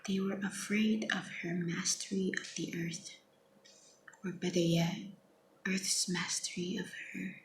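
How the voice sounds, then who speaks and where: Young woman, soft half-whisper, ASMR poetry reading, flat gentle delivery, echoey noisy recording. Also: fairly low pitch. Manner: hushed, gentle, unhurried. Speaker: ASMR host reading their own poems. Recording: echoey, with clear background noise.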